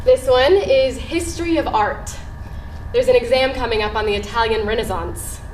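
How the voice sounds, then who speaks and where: A woman's voice: on the high side, moderate pace, a little mellow, close to an everyday voice. An actress on stage playing an earnest, romantic woman, with theatrical, projected delivery. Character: Isabella, earnest, romantic woman recalling her youth. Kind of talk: live stage play acting. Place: indoor theatre stage, far from microphone. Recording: distant, echoey theatre stage recording with faint noise.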